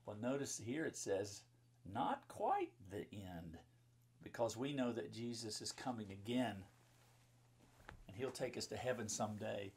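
Slightly husky middle-aged male pastor. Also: lowish voice, very animated, measured pace, darkish tone. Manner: simple child-directed phrasing with explanatory asides. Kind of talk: children's Bible storybook reading and talk. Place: book-lined room indoors.

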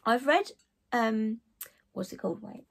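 A woman in her thirties with a cold and a croaky voice, chatting to camera about books she read, with lots of ums and asides. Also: on the high side, lively intonation, measured pace. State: hoarse from a cold. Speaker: book vlogger. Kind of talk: casual chat to camera. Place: living room with bookshelves.

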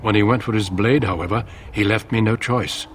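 Man acting deep-voiced, solemn warrior commander. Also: slight background noise, close to an everyday voice. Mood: grave, resolute. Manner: formal, measured, grave. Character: solemn middle-aged male warrior commander, grave and resolute. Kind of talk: video game dramatic acting. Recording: game audio with music behind. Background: music.

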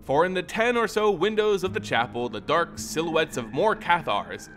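Guy narrating dark fantasy story, dramatic and deliberate, animated pitch, music behind him.

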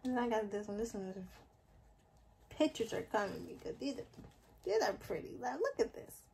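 A woman's voice: a little high, very animated, moderate pace, creaky, darkish tone. A young woman in her twenties chatting through a casual shopping vlog, rambling with fillers, filmed on a handheld phone in a mall and then at home. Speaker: vlogger. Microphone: handheld phone camera. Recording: noisy phone recording.

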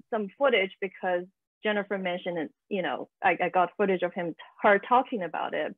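A woman's voice: medium pitch, no room sound. A young woman filmmaker talks in a casual, hesitant way, with many fillers. Her voice comes through a tinny, phone-like video call line. The room sounds dry. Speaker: documentary filmmaker. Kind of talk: panel discussion answer. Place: home room, indoors. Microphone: video call with earphone mic.

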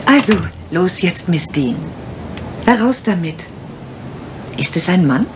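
A woman's voice: fairly low pitch, sing-song, takes it slowly. An actress in a mystery radio play as a shrewd, nosy, worldly lady assistant, direct and knowing, with dry humour. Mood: conspiratorial, prodding. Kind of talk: 1980s German mystery radio play acting. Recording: faintly noisy old radio recording.

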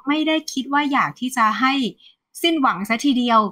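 Bright, lively woman economist. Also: high voice, brisk. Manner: animated, refers to herself by nickname, mixes English terms. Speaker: economist guest. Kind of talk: conversational market analysis. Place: plain indoor room, video call.

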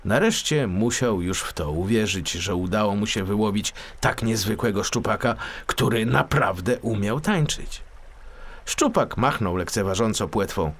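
A man's voice: lowish voice, animated, normal speed. A man narrating a scripted children's story expressively, as a storyteller.

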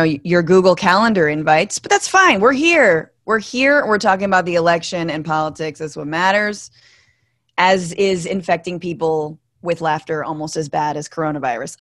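Young female comedian, joking, lowish voice, dry close mic. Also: fairly bright tone, dry recording. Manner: quick, joking. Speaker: comedian guest. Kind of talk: casual panel chat. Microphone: studio mic with earbuds.

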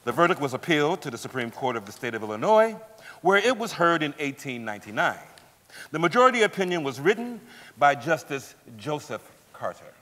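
A man's voice: fairly high pitch, very animated, a little fast, pushed and bright. A man delivering formal scripted narration on a theater stage. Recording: echoey theater hall.

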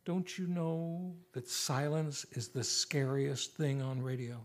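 A man's voice: mid-range voice, slow pace. A man playing an exasperated, formal radio show host, announcing in a vintage radio style. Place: radio studio. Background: music.

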